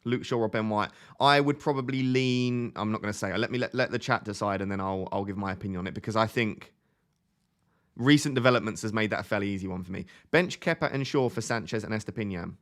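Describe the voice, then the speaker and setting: Low-voiced young man streaming. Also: quick pace. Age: 20s. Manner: thinks aloud in a chatty way, often restarting phrases. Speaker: live stream host. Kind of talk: casual live stream chat answering viewer questions.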